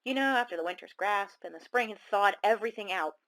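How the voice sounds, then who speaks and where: A woman acting as a self-conscious caller on a horror radio drama. Her mid-pitched voice is rueful and confiding, wandering through a conversational monologue with small hesitations. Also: quick pace, a bit bright, close to an everyday voice. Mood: wistful, embarrassed.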